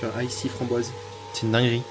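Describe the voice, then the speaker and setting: A man's voice: medium pitch, completely flat delivery, brisk, background noise. A young man talking casually to camera in a vlog, rambling with lots of fillers and restarts. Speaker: vlogger.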